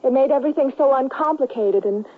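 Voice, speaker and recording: An actress playing an earnest, pleading young daughter in a western radio drama, with a soft-hearted, imploring manner. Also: female voice, sits high, quick pace, slightly rough. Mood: wistful, confiding. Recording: muffled, narrow-band 1970s radio broadcast.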